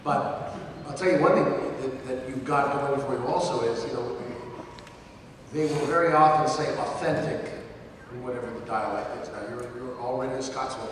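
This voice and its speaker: A man's voice: mid-range voice, normal speed. Man on a panel in a cavernous hall. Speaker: voice actor on a convention panel. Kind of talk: off-the-cuff panel talk, giving advice and joking. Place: large convention hall. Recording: echoey hall from far off, noisy.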